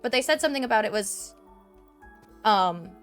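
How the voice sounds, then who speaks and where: A woman talks through her game session from home into a desk mic, leaning heavily on "um" and "like" as she thinks aloud. Her voice sits a little high for a woman. Quiet game music plays faintly in the background. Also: lively intonation, moderate pace. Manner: chatty, full of "um" and "like". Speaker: let's play gamer. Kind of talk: casual gameplay commentary. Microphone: desk microphone.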